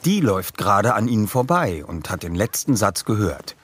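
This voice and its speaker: A man's voice: fairly low pitch, very animated, normal speed, fairly bright tone, slightly rough, full character voice. A man narrating a children's detective audio play as a scripted storyteller.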